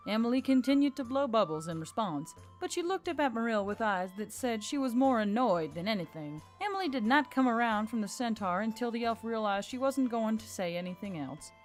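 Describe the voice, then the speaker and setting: A woman's voice: fairly high pitch, animated, brisk, hardly any pauses. A woman reading scripted fantasy fiction as an audiobook narrator. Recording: music bed under the voice.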